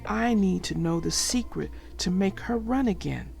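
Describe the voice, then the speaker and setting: A lonely old grandfather from a storybook, voiced by a woman. He talks in a subdued, sorrowful manner, with music clearly heard underneath. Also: mid-range voice, expressive, normal speed, a little mellow. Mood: sad, subdued. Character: lonely, sad grandfather tinkering in workshop.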